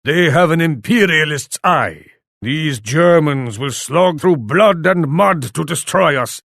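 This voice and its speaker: An actor playing a proud, ruthless Japanese warlord in a video game, speaking in an imperious, formal manner. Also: male voice, fairly high pitch, expressive, slow, vocal fry, fairly bright tone, no echo, studio quality, exaggerated voice. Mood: grave, wary. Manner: imperious, formal, speaks of himself in third person. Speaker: video game faction leader. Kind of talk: scripted video game voice lines.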